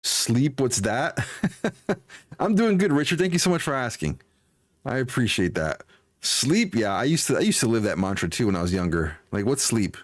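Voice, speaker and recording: A man's voice: medium pitch, very animated, moderate pace, dry recording, studio quality. A man in his forties hosting a game livestream, chatting casually with viewers in an upbeat, folksy manner. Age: 40s. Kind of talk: casual livestream chat, greeting and answering viewers.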